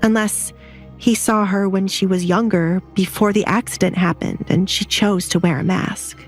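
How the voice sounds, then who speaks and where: A woman narrates a romance audio drama from a script. Her voice is medium in pitch, and music plays softly behind her. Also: steady tone, moderate pace.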